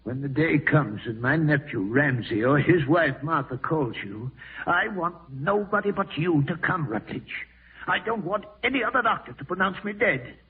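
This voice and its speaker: A man's voice: fairly high pitch, lively intonation, moderate pace, breathy edge, far from the mic. An actor playing an ailing, domineering old man who dreads being buried alive, in 1940s radio horror style. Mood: urgent insistence. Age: older. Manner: insistent, commanding, brooding. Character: old ailing man, morbidly fearful of live burial, domineering. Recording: muffled vintage radio broadcast, thin narrow band.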